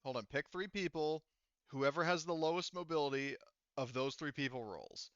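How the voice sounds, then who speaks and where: A man's voice: a little high, expressive, moderate pace, a bit bright, clear, resonant tone, dry recording, dull audio. A man acting as game master in a casual tabletop game, hesitantly thinking aloud as he works out rulings.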